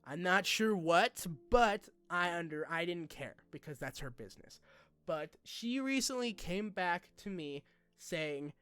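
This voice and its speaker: A young man talking informally to his subscribers in a personal vlog, halting and rambling in a colloquial way. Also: high voice, expressive, pushed and bright, smooth. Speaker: online video creator.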